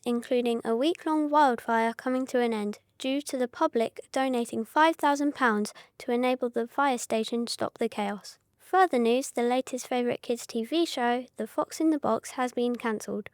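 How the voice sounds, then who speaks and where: A polished young news anchor, played by a woman, with a high voice reads formally in a radio drama. The sound is dry and studio-close. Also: normal speed, a little mellow, no echo, natural voice. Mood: composed. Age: young adult. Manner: formal, composed newsreading.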